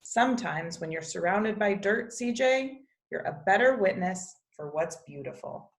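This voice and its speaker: A woman's voice: medium pitch, lively intonation, unhurried, echoey room, poor audio. A woman voicing a wise, loving grandmother in a storybook, folksy, firm and reassuring. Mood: gentle, wise.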